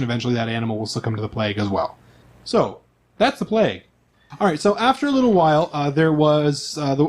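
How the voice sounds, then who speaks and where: A man's voice: a little high, darkish tone. A man speaking as host and game master, explaining things to players and listeners in a chatty, casual way.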